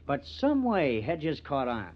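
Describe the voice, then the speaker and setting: A man's voice: on the high side, huge pitch swings, slow pace, full character voice. An actor playing a cunning, bitter artist who frames his rival, with theatrical, taunting intensity, in old-time radio drama style. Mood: smug, scheming. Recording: dull, lo-fi old radio recording.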